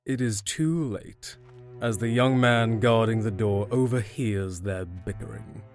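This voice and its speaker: A man's voice: on the low side, a little slow, faint music behind. A man reading scripted narration for an audio drama.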